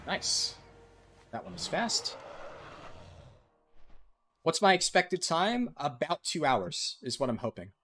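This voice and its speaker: A man's voice: a little high, big pitch swings, slow, long pauses, fairly bright tone, slight background noise. A man live-streaming a game, chatting with viewers in a casual way with lots of ums and likes. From United States. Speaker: livestreaming gamer.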